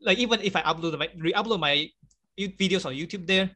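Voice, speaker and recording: Bright-voiced chatty man, native Chinese speaker. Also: high-pitched, fairly quick. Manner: chatty, laughs often, many fillers. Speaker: guest.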